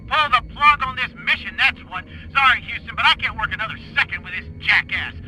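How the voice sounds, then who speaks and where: Huffy pompous space commander for a comedy game, high bright voice, faint music. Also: male voice, animated, a little fast, exaggerated voice, rough recording. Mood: huffy, offended. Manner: earnest, huffy. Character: self-important, touchy male astronaut commander. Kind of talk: comic video game acting.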